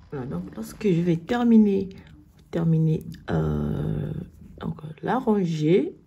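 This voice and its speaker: A woman with a cold, hoarse, slowly explaining knitting stitches step by step in a tutorial. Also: mid-range voice, mellow, lo-fi sound. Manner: slow, step-by-step instructions.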